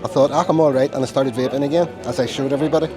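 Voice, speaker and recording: A man's voice: medium pitch, steady tone, racing, crowd noise. An Irish man walking through old town streets outdoors and chatting casually to the camera about what he sees, with a chesty, tickly cough. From Ireland. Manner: chatty, rambling, self-deprecating asides. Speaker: travel vlogger. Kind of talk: casual walking-tour commentary. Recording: music bed under the voice.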